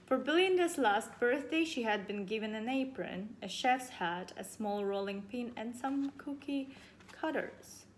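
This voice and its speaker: A woman's voice: a little high, animated, unhurried. A woman teacher reading a children's storybook aloud expressively to young pupils. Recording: faint background noise.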